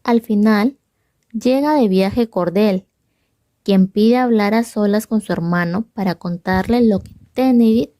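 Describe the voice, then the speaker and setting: A woman's voice: medium pitch, unhurried, warm tone, dry recording, studio quality. A young woman, a student presenter, reading a scripted story summary as narrator. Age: young adult.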